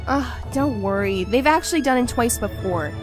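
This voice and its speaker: Teasing young woman mage, voiced by a narrator: high-pitched, lively swings, bossy but fond, visual novel read-aloud. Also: moderate pace, over music, natural voice. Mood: scolding. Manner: teasing, scolding.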